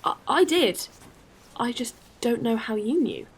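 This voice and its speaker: A woman playing a caring, earnest space traveler in an audio drama, speaking with gentle hesitancy. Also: on the high side, lively intonation, measured pace, slightly rough, full character voice. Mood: guarded.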